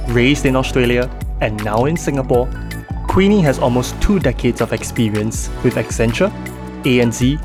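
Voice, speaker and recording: Friendly man hosting a podcast. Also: mid-range voice. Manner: friendly, encouraging, affirming. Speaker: podcast host. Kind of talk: scripted intro then interview questions.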